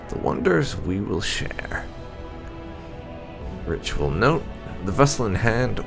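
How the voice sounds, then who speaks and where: A man reading fantasy game lore aloud in a measured, theatrical way with pauses. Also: low-pitched, gravelly. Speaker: gameplay channel host.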